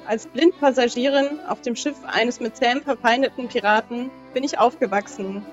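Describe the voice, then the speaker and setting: A woman's voice: on the high side, normal speed, muffled recording. A young adult woman talking casually as a guest player in a role-playing game. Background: music.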